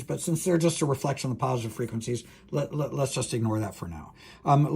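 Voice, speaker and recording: A male university lecturer with a creaky, husky voice talks through material informally. He is halting and thinks aloud, working step by step through an unscripted explanation. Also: medium pitch, warm tone.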